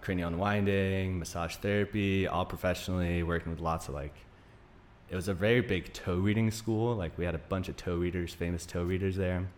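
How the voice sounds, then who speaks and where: A man's voice: deep voice, fairly even intonation, a little fast, a little hiss or hum. A man in his thirties from Greece, Denmark and Arizona, talking casually as an interview guest in an informal, rambling storytelling way. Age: 30s. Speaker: podcast guest, naturopathic doctor.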